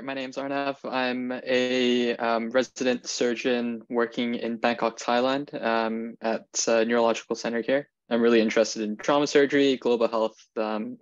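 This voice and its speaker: A man, a surgeon, introducing himself in a rushed way with fillers. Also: medium pitch, little pitch movement, no echo, muffled recording. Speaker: resident surgeon. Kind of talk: self-introduction in an online class. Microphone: video call.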